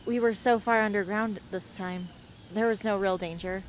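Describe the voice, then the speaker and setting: A woman's voice: mid-range voice, steady tone, normal speed, close to an everyday voice. A woman playing a troubled, reluctant teenage rebel heroine in an amateur radio drama, hesitant and vulnerable. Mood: subdued, hesitant. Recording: faint background ambience noise.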